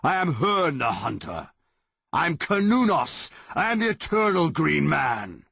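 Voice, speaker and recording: A man voicing an ancient, towering male tree monster that speaks in a slow, grand, commanding way. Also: on the high side, very animated, gravelly, slightly rough, dry recording, highs cut off, voice pushed up, heavily acted. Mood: roaring.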